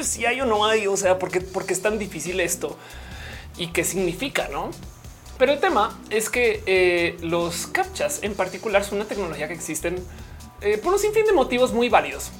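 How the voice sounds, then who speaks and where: A young woman from Colombia who speaks, hosting a casual livestream, chatty and playful as she reads comments and laughs often. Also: medium pitch. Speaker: livestream host. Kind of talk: casual live-stream chat reading comments.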